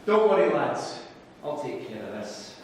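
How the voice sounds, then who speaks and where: A man's voice: mid-range voice, sing-song, a little slow. A man voicing a confused, cocky Scottish soccer hooligan with a Scottish accent and a swaggering manner, in a stage story. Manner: swaggering, deluded confidence. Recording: echoey hall from far off, noisy.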